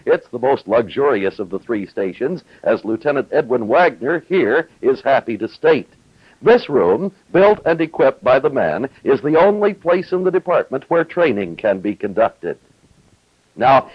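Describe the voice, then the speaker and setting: A man narrates a 1950s television news feature in a scripted reporter's style. His delivery swings expressively and his voice has a husky edge. The old film soundtrack is dull and roomy. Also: mid-range voice.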